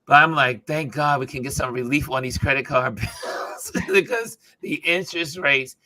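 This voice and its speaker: Bright, forceful male talk show host. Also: a little high, sing-song, no room sound. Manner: halting, repeats phrases as he builds a point. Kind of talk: casual panel discussion of news.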